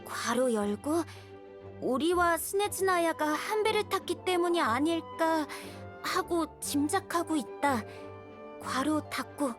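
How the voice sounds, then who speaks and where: A woman's voice: extremely high pitch, expressive, takes it slowly, exaggerated voice. A woman voicing a young, timid boy surveyor in a game, polite, hesitant and earnest. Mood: earnest, formal reading. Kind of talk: scripted game dialogue, reading a letter aloud. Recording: music behind the voice. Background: music.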